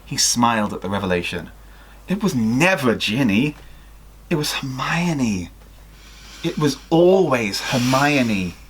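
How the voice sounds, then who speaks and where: Young man, animated theatrical storyteller, bright voice with wide swings in pitch. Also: slow, a little hiss or hum. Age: young adult. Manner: theatrical, acts out the dialogue. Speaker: guest reader of listener fan fiction. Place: small attic bedroom, indoors.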